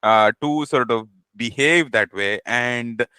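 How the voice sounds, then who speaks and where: A male podcast host leads the talk in a halting way, stacking repeated words and "you know" fillers. His voice is bright and forward. The recording is dry and close, with no room sound. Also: medium pitch, smooth, no room sound. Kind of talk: casual interview talk.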